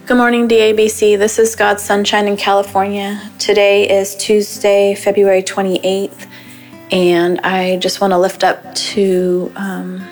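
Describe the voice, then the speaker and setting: A woman calling in, praying aloud gently and asking for prayer for her grandfather. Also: medium pitch, completely flat delivery, measured pace, soft background music. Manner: gentle, devout, with many fillers. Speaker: caller. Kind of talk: spoken prayer and prayer request.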